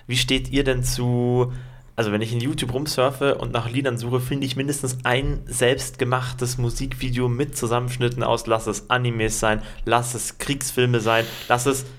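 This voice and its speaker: A man's voice: medium pitch, little pitch movement, normal speed. A man co-hosting a podcast, chatting in a playful, jokey way. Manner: playful, jokey, laughs along. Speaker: podcast co-host. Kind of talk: casual chat.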